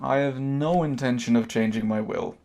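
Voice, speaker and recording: An Irish man acting a composed, sardonic middle-aged emigrant in a stage drama, speaking in measured, formal phrases. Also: mid-range voice, darkish tone, lightly acted. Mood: firm, sardonic.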